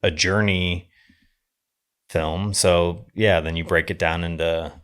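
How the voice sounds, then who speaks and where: A man with a low, deep voice talks through films in a casual discussion. His delivery is halting and wandering, with thoughts often left hanging as he starts the next one. He sounds like a podcast co-host chatting informally. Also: steady tone, a bit bright, clear, resonant tone. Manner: halting, rambling, trailing off mid-thought.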